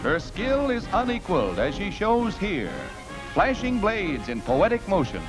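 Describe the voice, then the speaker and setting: A man's voice: high voice, huge pitch swings, a little slow, over loud music, low-quality recording. An American man narrating a 1940s newsreel in a punchy, theatrical announcer style. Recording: vintage 1940s newsreel soundtrack.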